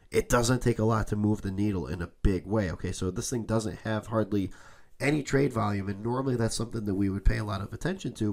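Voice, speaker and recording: A young man hosts a casual crypto commentary, talking loosely and repeating himself, often checking in with "okay?". He is fighting a cold, so his somewhat low voice comes out a little raspy. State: has a cold, a bit raspy. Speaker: crypto channel host. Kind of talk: casual screen-share commentary.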